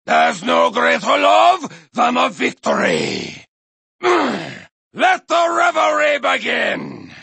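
Casting: deranged Viking berserker, high raspy shrieks, wildly swinging pitch, slow and drawn out, dry studio. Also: male voice, wildly swooping pitch, no room sound, exaggerated voice. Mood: menacing growl. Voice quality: gravelly, raspy shouting with manic cackles. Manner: boastful, taunting battle cries, sudden drops to a menacing growl. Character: crazed Viking warrior racer, male, bloodthirsty and manic. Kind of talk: video game character voice lines.

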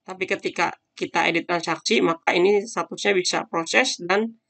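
A man's voice: high-pitched, fairly even intonation, moderate pace, gravelly, fairly bright tone. A man teaching a screen-recorded coding tutorial, talking casually and thinking aloud with frequent fillers as he demonstrates. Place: at a computer, voice-over on screen recording.

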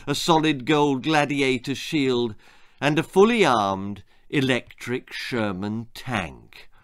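Animated older Englishman telling stories. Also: medium pitch. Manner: expressive, deliberate, theatrical. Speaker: author reading his own stories. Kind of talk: dramatic children's storytelling, scripted narration. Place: small book-lined room indoors.

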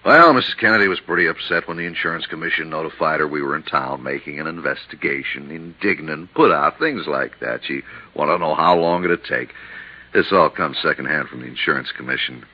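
An actor playing a blunt, businesslike insurance colleague in a 1950s radio detective drama. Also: male voice, rumbling low, a little fast. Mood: briefing, casual. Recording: muffled 1950s radio recording.

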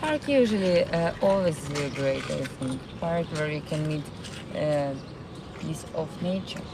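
A woman with a Ukrainian accent, live-streaming from a city park and chatting with her viewers in a halting way, often searching for words. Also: sits low, animated, noisy background. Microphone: phone.

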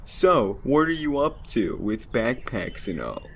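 Man as a slow-talking, breezy young bird monster. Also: mid-range voice, big pitch swings, a bit bright, exaggerated voice. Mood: casual, puzzled. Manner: laid-back, casual slang. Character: breezy, joking young male duck-dragon snowflake monster. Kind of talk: fan audio drama acting. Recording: clearly noisy background.

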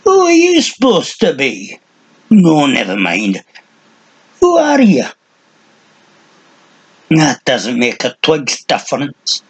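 A man voicing a cranky, hunched old hermit with a high, strident, shrill voice who rants and mutters. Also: wildly swooping pitch, slow pace, long pauses, breathy edge, heavily acted. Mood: irritable, dismissive. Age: older.

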